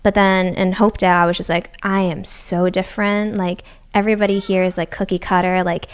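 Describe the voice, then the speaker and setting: A young woman from Massachusetts whose first language was Japanese talks freely as a podcast guest. Her voice is smooth and clean, sitting at an ordinary middle pitch. She rambles cheerfully, laughing and filling her sentences with "like.". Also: level tone, a little fast. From Massachusetts, USA. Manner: chatty, laughing, full of "like". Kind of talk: casual chat.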